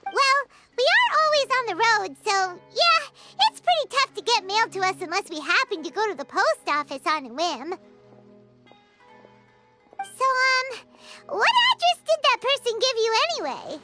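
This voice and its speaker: A woman voicing a tiny, childlike, nosy fairy companion in a video game, with a squeaky, excitable delivery. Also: normal speed. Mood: curious. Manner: excitable, speaks of herself in third person. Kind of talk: English video game voice acting. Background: game music.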